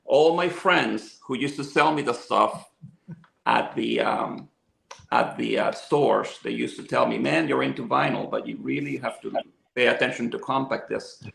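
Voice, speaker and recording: A man's voice: medium pitch, unhurried, creaky, a bit bright. A man in his fifties from Venezuela, chatting enthusiastically as a guest about his love of music and gear. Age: 50s. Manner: enthusiastic, talkative storyteller. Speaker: interview guest, audio equipment seller. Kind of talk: casual interview chat. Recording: echoey room.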